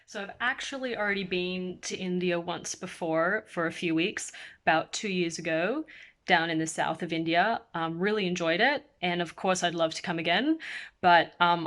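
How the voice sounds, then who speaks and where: A young woman whose accent drifts between Australian and American, talking in a chatty, upbeat way. Her voice sits a little low for a woman. She is a singer-songwriter casually answering fan questions. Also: a bit bright. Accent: mixed Australian and American.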